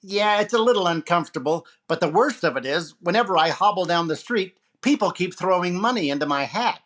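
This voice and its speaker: Radio variety host, played by a man, wisecracking and theatrical, very lively intonation. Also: high-pitched, a little fast. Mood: bantering. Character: wisecracking male comedian hosting a radio variety show. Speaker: radio show host and comedian. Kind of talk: 1940s radio comedy recreation.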